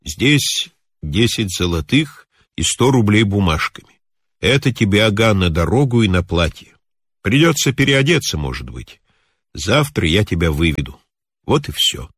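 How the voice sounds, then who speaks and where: A man voicing a kindly, talkative old mountain healer in a story, with a calm, instructive, measured manner. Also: on the low side, husky, no room sound, studio quality, exaggerated voice. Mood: kindly, firm.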